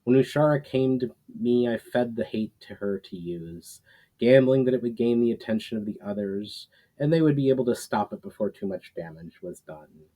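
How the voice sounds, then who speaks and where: A man voicing a proud, repentant old warrior spirit in a storybook, speaking in a solemn, formal, measured way. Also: mid-range voice, expressive, warm tone, lightly acted, poor audio. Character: Kiral, ancient male warrior spirit, proud, repentant, weary.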